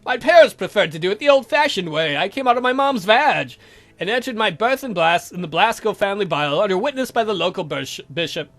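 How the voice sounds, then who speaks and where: A man's voice: sits high, lively intonation, brisk, fairly bright tone. A man voicing a grumpy, defensive old vampire count from a visual novel, indignant, dry and given to swearing. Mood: dry, matter-of-fact.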